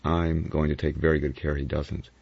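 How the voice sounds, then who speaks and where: A man voicing a cool, sardonic adventurer in an audiobook, with an unhurried, wry manner. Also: rumbling low, flat delivery, creaky, slightly rough, exaggerated voice. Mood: teasing. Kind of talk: audiobook character acting. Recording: slightly dull, dry recording.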